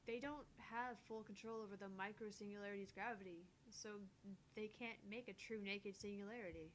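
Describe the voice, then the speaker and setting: A woman's voice: mid-range voice, steady tone, a little fast, a little hiss or hum, natural voice. A woman voicing a skeptical, brainy young woman scientist in a visual novel, explaining matter-of-factly and dismissively. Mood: analytical. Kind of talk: visual novel dialogue read aloud.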